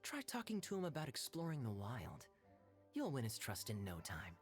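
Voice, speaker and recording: An actor playing a suave young cavalry captain in a video game, smooth, playful and sly in manner. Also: male voice, mid-range voice, wildly swooping pitch, moderate pace, soft background music. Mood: reassuring, sly.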